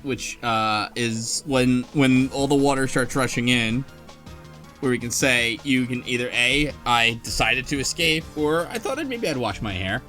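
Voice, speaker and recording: A man explaining game choices in a casual, somewhat halting way during a group discussion. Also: mid-range voice, bright, forceful tone. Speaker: podcast panelist. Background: background music.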